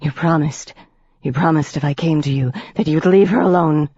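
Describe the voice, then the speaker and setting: A woman's voice: low voice, moderate pace, warm tone, husky. A narrator voicing a young woman pleading with her master in a strained, urgent voice. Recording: dull dry audiobook recording.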